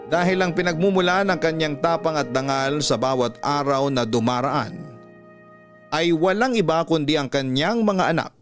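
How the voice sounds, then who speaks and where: A man with a Filipino accent narrating scripted, emotional stories in a classic radio style, measured and heartfelt with dramatic pauses. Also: a little high. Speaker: radio storyteller and host. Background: soft background music.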